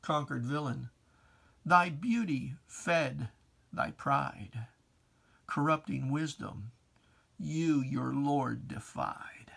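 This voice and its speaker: Poetry reader, solemn and theatrical, very measured pace, mid pitch, for dramatic religious verse. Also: gravelly, fairly bright tone. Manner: solemn, theatrical, drawn-out phrasing.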